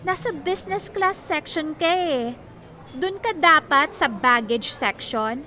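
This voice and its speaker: Shrill, snobbish young office bully. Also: female voice, expressive, slow, sharp tone, full character voice. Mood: mocking. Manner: sneering, disgusted, mocking. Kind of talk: Filipino radio drama acting. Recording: music bed under dialogue.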